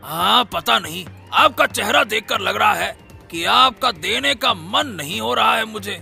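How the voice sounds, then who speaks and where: A greedy young boatman in a cartoon. His voice is very high and piercingly bright, with heavy creak. He is impatient and fawning, and music plays underneath. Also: measured pace, exaggerated voice. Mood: teasing, coaxing. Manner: impatient, flattering, exclaiming. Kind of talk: animated Hindi cartoon story acting.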